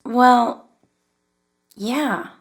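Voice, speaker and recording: Gentle, encouraging mom for podcast drama, played by a woman: higher register, careful and concerned. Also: very slow. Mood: polite, affectionate. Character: concerned, supportive mother of a teenage son. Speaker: actress in a scripted podcast drama. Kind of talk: naturalistic audio drama acting.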